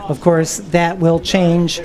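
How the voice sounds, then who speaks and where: A woman's voice: on the low side, little pitch movement, slow pace. A woman giving live stock car race commentary as a trackside announcer. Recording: loud vehicle noise behind. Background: race cars and trackside noise.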